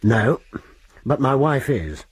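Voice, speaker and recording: A man's voice: mid-range voice, sing-song, takes it slowly, slightly rough, dry recording, full character voice. An actor playing a hostile married man who answers a late phone call in a radio drama. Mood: curt. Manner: curt, hostile, exploding into anger. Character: angry middle-aged married man on phone.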